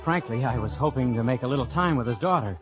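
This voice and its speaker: An actor playing a breezy, skeptical young American in a 1950s radio drama. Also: male voice, medium pitch, lively intonation, fast, lightly acted. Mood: wry storytelling. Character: breezy, skeptical young visitor wooing a girl. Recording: muffled old radio recording with music.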